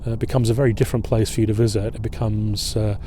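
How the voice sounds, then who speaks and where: A middle-aged man leading an outdoor history tour at a waterfront fort. He talks in a relaxed, halting way with many filler pauses. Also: fairly low pitch, a little hiss or hum. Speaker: historian and battlefield guide.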